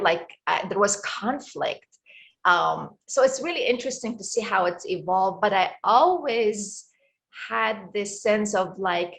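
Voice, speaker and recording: A middle-aged woman from Egypt with an Egyptian accent, speaking as an interview guest in a reflective, halting way with many fillers. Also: mid-range voice, poor audio. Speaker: guest, wellness coach. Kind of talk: casual interview talk.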